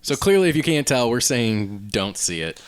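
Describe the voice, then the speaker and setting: A man's voice: mid-range voice, big pitch swings, normal speed. A man hosting a podcast in casual chat, explaining things with a wry touch. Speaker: podcast host.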